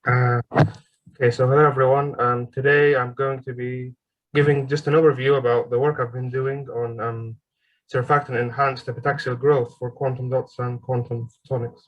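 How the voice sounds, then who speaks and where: A man in his twenties from Egypt giving an online seminar presentation as a graduate student, pausing often with ums and uhs. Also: mid-range voice, flat delivery, highs cut off. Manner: hesitant, frequent ums and uhs. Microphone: video call.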